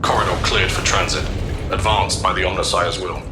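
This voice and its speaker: An actor voicing a cold mechanical facility system that gives flat, clipped announcements. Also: male voice, low voice, a little fast, roomy sound, background noise, close to an everyday voice. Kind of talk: video game voice acting.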